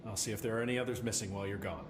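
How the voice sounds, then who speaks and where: A man's voice: lowish voice, racing, close to an everyday voice. A man playing a wry, exasperated government containment supervisor in a video game. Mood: matter-of-fact, wry. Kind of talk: video game dialogue acting. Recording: roomy, clearly noisy game audio in stream.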